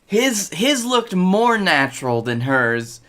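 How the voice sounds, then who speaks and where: A man's voice: sits high, sing-song, slow, fairly bright tone. A man in his twenties chatting casually as a podcast co-host. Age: 20s. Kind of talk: casual banter about wrestling. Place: bedroom, on a video call.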